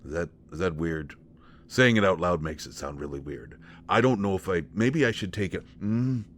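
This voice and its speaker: Low male voice, protective country fellow, played by a male actor, hesitant, folksy, intimate audio drama, some background noise. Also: animated, moderate pace. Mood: awkward, affectionate. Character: protective, nervous country man, plain-spoken and old-fashioned.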